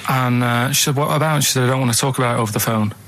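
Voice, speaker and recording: A man's voice: mid-range voice, very fast, a bit bright. A man tells rambling, colloquial stories in an artless way as a co-host of a radio chat show. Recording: radio broadcast with clear background noise.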